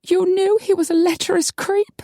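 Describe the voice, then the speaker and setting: Audiobook casting: woman performer as sweet, wide-eyed young vampire woman, high girlish voice, emotional, very dry sound. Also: expressive, moderate pace, mellow, no room sound. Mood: tearful, horrified. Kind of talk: audiobook character acting.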